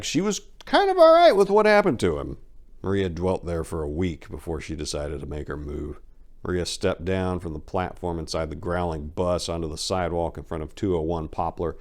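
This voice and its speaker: A man giving a theatrical, sardonic dramatic reading of a gritty crime story as its narrator. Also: deep voice.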